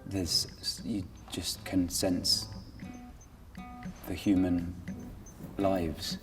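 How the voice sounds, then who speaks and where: A man giving halting, reflective thoughts in an interview. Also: low voice, level tone. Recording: distant, reverberant, guitar music behind.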